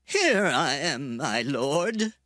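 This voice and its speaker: A man's voice: a little high, very animated, very slow, a bit bright, dry recording, clean studio sound, exaggerated voice. A man playing an earnest, dignified priest in a scripture drama, speaking with solemn deliberation. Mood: submissive. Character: earnest, dignified priest pleading innocence before a king.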